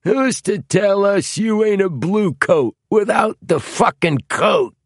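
Man playing a raspy, menacing street thug. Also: lowish voice, lively intonation, slow pace, sharp tone, no echo, studio quality, voice pushed up, exaggerated voice. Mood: suspicious, menacing. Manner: snapping, threatening, coarse slang. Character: rough, menacing tall male gang thug with knife.

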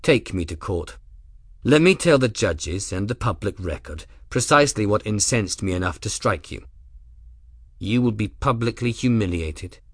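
Steely airship captain, very low, slow, husky, quiet and level; a man putting on the voice. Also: animated, dry recording, clean studio sound. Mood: cold calm.

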